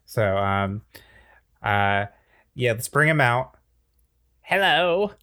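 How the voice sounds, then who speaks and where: A man's voice: fairly low pitch, slow pace, pushed and bright, clear, resonant tone. A man hosting a comedy podcast, explaining a game in a rambling, playful way full of fillers. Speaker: podcast host. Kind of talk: casual comedic podcast chat.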